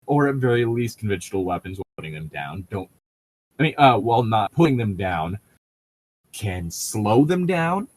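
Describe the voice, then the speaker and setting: A man's voice: on the low side, very animated, normal speed, darkish tone. Casual, rambling man in easy conversation. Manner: rambling, profane, digressive. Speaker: podcast co-host. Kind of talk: casual chat and commentary while reading a novel aloud.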